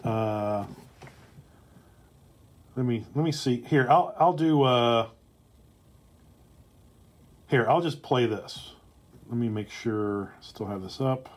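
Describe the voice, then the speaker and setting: A man talks casually to viewers on a livestream. His medium-pitched voice moves slowly, halting often and hesitating with long pauses as he presents. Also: darkish tone. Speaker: streamer and comedy writer presenting a script.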